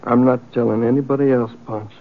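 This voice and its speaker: An actor playing a vain, stubborn young outlaw in a 1950s western radio drama, with smug, folksy cowboy talk. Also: male voice, mid-range voice, fairly even intonation, brisk, lightly acted. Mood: smug, rueful. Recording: muffled, narrow 1950s radio recording.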